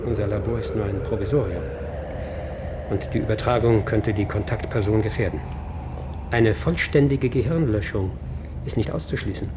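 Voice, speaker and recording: A man's voice: fairly low pitch, moderate pace, natural voice. Man acting a weary fugitive scientist, noisy surroundings. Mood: grave, resolute. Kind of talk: German science-fiction radio drama acting.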